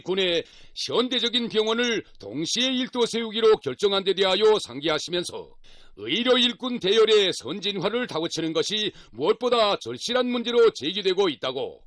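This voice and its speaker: High-pitched North Korean male news announcer. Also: a bit bright, no room sound. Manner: solemn, reverent, declamatory. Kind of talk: formal scripted state news reading.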